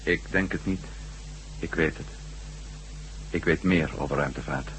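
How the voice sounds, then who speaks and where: An actor playing a captured former astronaut in a Dutch radio drama, answering tersely and compliantly in a subdued way. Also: male voice, a bass voice, unhurried, a bit bright. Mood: subdued, matter-of-fact. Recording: noisy radio recording with hum.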